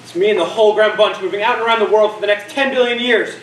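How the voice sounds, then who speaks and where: A man's voice: high-pitched, very fast, fairly bright tone. A young man on stage playing a doomed, half-mad spaceman who declaims in a grand, exultant way. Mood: exultant, manic. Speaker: student stage actor. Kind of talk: theatrical stage monologue. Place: school theatre stage. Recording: echoey stage, distant mic, faint noise.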